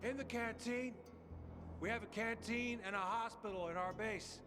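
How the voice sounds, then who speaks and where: A man's voice: high-pitched, steady tone, normal speed, over music, natural voice. An actor playing a proud, crude male soldier who talks brusquely with swagger.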